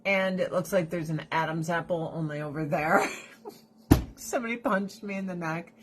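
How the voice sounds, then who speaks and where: A woman live streaming to her viewers in casual chat, blunt and profane, animated and laughing often, close to her phone camera. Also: lowish voice, moderate pace, slight background noise. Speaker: direct sales rep live streaming. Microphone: phone or camera held close.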